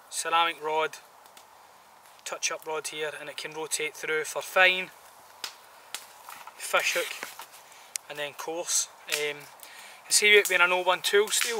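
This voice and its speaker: A man in his thirties reviews gear in an informal, rambling way. His voice is fairly high and very bright and forceful. He is recorded outdoors at some distance from the microphone. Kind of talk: casual outdoor product review to camera. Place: outdoors in a woodland camp. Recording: distant outdoor mic, some background noise.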